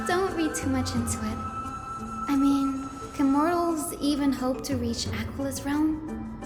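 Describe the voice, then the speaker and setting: A soft high game girl doctor, really slow, wistful but quietly confident, music behind. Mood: wistful, musing. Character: gentle, wistful young woman physician with quiet confidence. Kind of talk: game story dialogue. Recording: long reverb, music behind.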